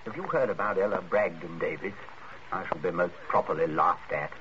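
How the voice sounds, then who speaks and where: An actor playing a blustery, hearty British captain in a 1940s radio suspense play, with clipped upper-class phrasing. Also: male voice, low voice, a little fast, sharp tone. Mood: hearty, sardonic. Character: blustery, hearty English captain, jilted suitor. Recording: muffled, noisy old 1940s radio recording.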